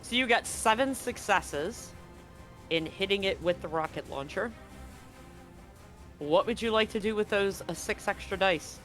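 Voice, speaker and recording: A game master running a live tabletop horror game, joking with players and slowing down to narrate scenes. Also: mid-range voice, soft background music. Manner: relaxed, joking, slows for vivid scene narration. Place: home setup, streamed live.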